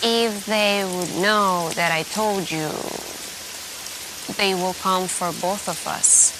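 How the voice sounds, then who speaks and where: Soft-spoken female hacker friend, played by a woman, slow halting delivery, secretive, anxious, protective, ASMR roleplay, hissy rain-like background noise. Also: mid-range voice, fairly even intonation, lightly acted. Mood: urgent, secretive. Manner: hesitant, halting, hushed and confiding.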